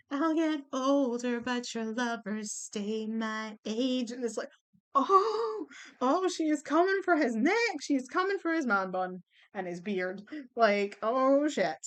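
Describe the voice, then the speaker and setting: A young woman chatting bluntly as she ranks songs at home on her computer, her voice going a little hoarse. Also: a little high, a bit bright. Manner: chatty, blunt, swears and laughs easily. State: losing her voice. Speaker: vlogger ranking songs. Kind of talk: casual chat.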